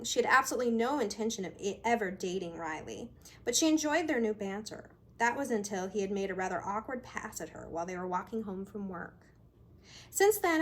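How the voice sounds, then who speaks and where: A woman author speaking in a mid-pitched voice, introducing and reading her own fiction. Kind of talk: casual introduction, then narrated fiction reading.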